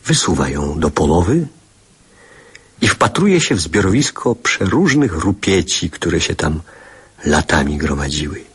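A man narrates a literary radio play of childhood memories. His voice is low and markedly husky, with lively, varied intonation that shapes each phrase. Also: measured pace.

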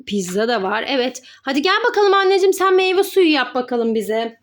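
A woman's voice: high-pitched, big pitch swings, normal speed. A woman narrating pretend play for children as host, coaxing and giving simple step-by-step instructions like a mother to her child.

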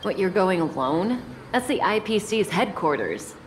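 A woman playing an unhurried, mature big-sister companion in a video game, languid, teasing and gently chiding. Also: mid-range voice, sing-song, background noise. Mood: relaxed, teasing. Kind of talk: scripted game dialogue.

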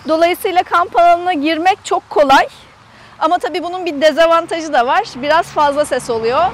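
Female travel vlogger, high voice, chatty and explanatory, casual walk-around tour delivered outdoors. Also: a little fast, slight background noise. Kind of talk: casual guided tour to camera. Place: outdoors in an open camper park lot.

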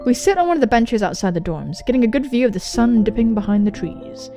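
A young woman, a gaming host, reading visual novel text aloud and commenting on it in a jokey, animated way with casual swearing. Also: a little high, fast talker, faint music behind. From England. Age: young adult. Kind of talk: let's play commentary and dramatic reading of on-screen dialogue. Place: indoor room. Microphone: large microphone close to the mouth.

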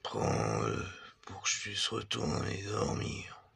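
A man voicing a grumpy, sleepy, gruff demon with a gravelly drawl in a French fan comic dub. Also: deep voice, sing-song, slow, fairly bright tone, full character voice.

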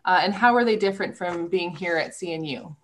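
A woman's voice: fairly low pitch, fairly quick, rough recording. A woman in her thirties moderates a webinar over a video call. She keeps things organized and prompts the panelists in a friendly way. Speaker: panel moderator, study abroad director.

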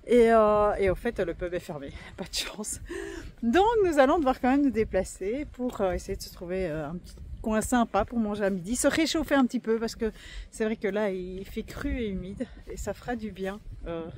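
A woman's voice: medium pitch, expressive, normal speed, a little mellow. A woman in her thirties chatting casually to a phone camera on a family travel vlog, with lots of hesitations and restarts. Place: outdoors on a windy coast, later in a camper van and a restaurant. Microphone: phone camera at arm's length. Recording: outdoor phone recording with background noise.

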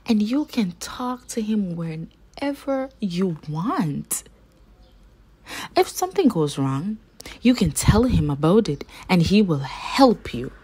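A woman's voice: mid-range voice, darkish tone, husky, low-quality recording. A woman reading a children's religious picture book aloud, phrasing simply and carefully for young listeners. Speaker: read-aloud storyteller for children.

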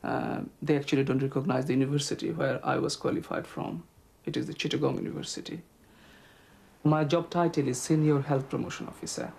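Middle-aged man, bangladeshi accent, slow careful answers, husky gravelly voice. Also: mid-range voice, fairly even intonation, a little mellow. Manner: measured, hesitant with frequent "uh" and "um". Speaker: health promotion officer interviewee. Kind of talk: interview answers.

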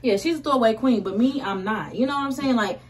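A woman's voice: fairly high pitch, fast talker, over music. Young American woman vlogger, a bit far off. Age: 20s. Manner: slangy, conversational. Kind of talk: casual chat while getting ready. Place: small indoor room. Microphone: camera a short distance away. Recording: roomy distant camera mic, faint noise.

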